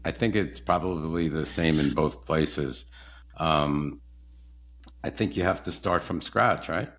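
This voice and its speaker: A man in a casual podcast conversation, relaxed and asking questions. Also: rumbling low, takes it slowly. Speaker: podcast guest.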